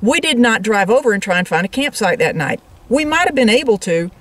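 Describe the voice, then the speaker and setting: A woman's voice: a little high, sing-song, brisk, far from the mic. A woman co-hosting a travel vlog, explaining things in casual, conversational talk addressed straight to viewers. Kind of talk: casual explanatory chat to camera.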